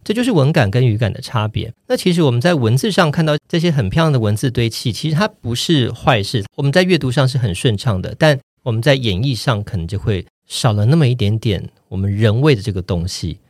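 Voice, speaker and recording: A man's voice: medium pitch, expressive, fast talker, few pauses, darkish tone, no room sound, clean studio sound. A man with a Taiwanese Mandarin accent, explaining his craft step by step as an articulate interview guest. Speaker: voice actor and voice director, podcast guest.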